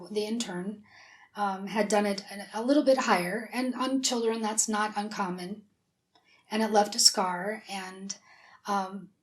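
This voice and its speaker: A woman from South Dakota with a raspy, breathy low voice, chatting openly as a guest in a studio interview. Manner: chatty, open storytelling. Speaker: whistleblower guest. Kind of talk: personal interview.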